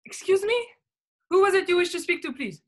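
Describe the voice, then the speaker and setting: A woman's voice: extremely high pitch, animated, a little fast. A young woman with a French accent playing a distracted, officious hospital receptionist in a drama, polite but dismissive and hesitant. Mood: brisk, distracted. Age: young adult. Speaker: actress. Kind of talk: acted drama over video call. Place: indoors, plain room. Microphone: webcam video call.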